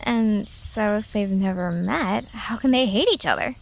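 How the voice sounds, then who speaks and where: A woman's voice: medium pitch, measured pace, vocal fry. A woman playing a small, earnest, stubborn fox in an audio drama, questioning everything with disbelief. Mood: puzzled, probing. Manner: questioning, incredulous. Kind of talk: audio drama character acting.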